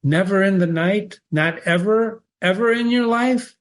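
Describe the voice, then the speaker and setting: A man reading a soft, miserable woman. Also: measured pace. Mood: pleading. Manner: soft, low and hoarse. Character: miserable woman speaking softly.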